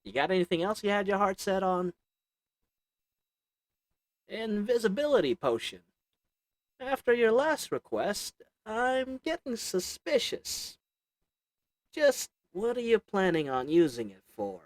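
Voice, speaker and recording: Voice actor as a cunning goblin shopkeeper, haggling patter, lively up-and-down delivery. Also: medium pitch, unhurried, pauses often, no echo. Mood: sly. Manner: wheedling, sarcastic salesman patter; chuckles between lines. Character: sly haggling goblin potion merchant. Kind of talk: audio roleplay acting to the listener.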